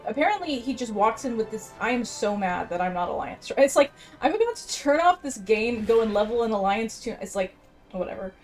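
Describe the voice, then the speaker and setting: A woman's voice: on the high side, lively intonation, fairly quick. A woman hosting a gaming video, commenting casually with a sarcastic, sweary, exasperated manner. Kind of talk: casual game commentary, reading quest text aloud. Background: game music.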